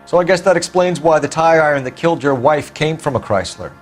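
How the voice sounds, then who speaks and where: Male, actor playing driven 1940s detective for a crime game. Clipped, formal, insistent, with highly varied intonation. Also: expressive, a little fast, soft background music, close to an everyday voice. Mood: accusing. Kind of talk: dramatic period crime game acting.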